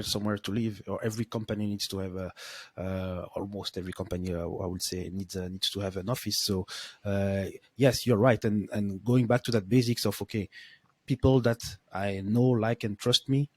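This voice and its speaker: A man's voice: fairly low pitch, a little mellow, no room sound. A man hosting a podcast interview, speaking hesitantly with lots of fillers. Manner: hesitant, many fillers and restarts. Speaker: podcast host. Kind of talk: casual interview.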